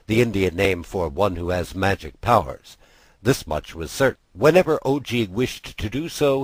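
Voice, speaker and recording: A man reading a story as an audiobook narrator, with measured, dramatic phrasing. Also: on the low side, husky, no room sound, rough recording. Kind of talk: expressive scripted storytelling narration.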